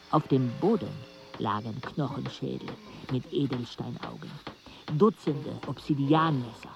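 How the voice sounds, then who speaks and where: A man in a radio play acting a weary travel companion who narrates in a measured, reflective way. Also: mid-range voice, lo-fi sound, close to an everyday voice.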